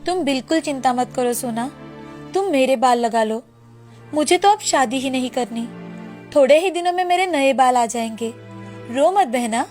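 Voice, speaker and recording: Storybook sister, played by a woman: young, selfless, reassuring, high voice with faint music underneath. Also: expressive, moderate pace, darkish tone. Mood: comforting.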